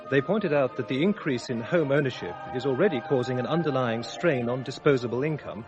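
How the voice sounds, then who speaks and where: An actor playing a radio newsreader, delivering a formal bulletin in a measured, impersonal way. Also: male voice, medium pitch, lo-fi sound, lightly acted. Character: sober radio newsreader reading economic news.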